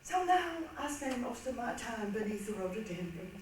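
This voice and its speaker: A put-upon, whimsical woman storyteller, played by an actress, lively and fairly high in voice. She tells it wryly and theatrically in a very echoey hall. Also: normal speed. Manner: theatrical, wry, expressive storytelling. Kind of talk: stage readers' theatre monologue. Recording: echoey hall, distant mic, faint noise.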